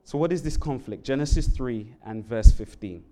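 A man from England with a London accent, preaching a sermon in a church. He speaks earnestly and climbs sharply in pitch and volume on key words. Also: moderate pace, dark tone. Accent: London English. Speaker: Bible worker preaching. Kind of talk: sermon with prayer and scripture reading. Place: church sanctuary.

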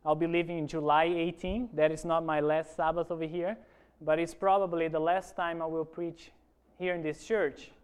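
A man's voice: a little high, level tone, normal speed, smooth. A man from Brazil with a Brazilian Portuguese accent, a pastor giving an earnest sermon testimony to his church congregation and often asking them for an "amen.".

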